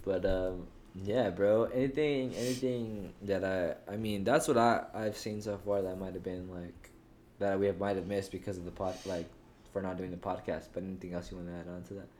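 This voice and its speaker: A young man with a fairly low voice talks loosely on a podcast. He rambles, restarts his thoughts and peppers every sentence with slang. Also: steady tone, moderate pace, a little mellow, slight background noise. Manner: slangy, rambling, says "bro" and "dog" a lot. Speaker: podcast co-host. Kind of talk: casual chat.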